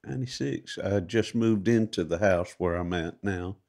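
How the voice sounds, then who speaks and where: A man's voice: sits low, moderate pace, gravelly, a little mellow. A man hosting a casual podcast interview, asking teasing, prodding questions and often restarting his sentences. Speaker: podcast host.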